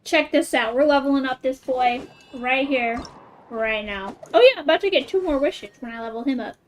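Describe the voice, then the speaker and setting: High-voiced woman streaming a game. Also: moderate pace, a little hiss or hum. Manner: chatty, thinking aloud in short playful remarks. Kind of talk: casual live gameplay chat.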